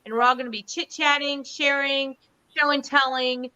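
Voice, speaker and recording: A woman's voice: sits high, fairly even intonation, normal speed, sharp tone, poor audio. A woman hosting a casual online show over a video call, chatty and gushing as she introduces her guest. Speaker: host.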